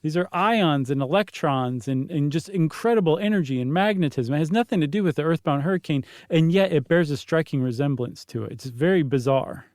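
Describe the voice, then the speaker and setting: A man with a slightly high voice explains science as a podcast co-host. He is casual and emphatic, restating things as he goes. The sound is close and dry. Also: expressive, fairly quick, few pauses, darkish tone, no echo.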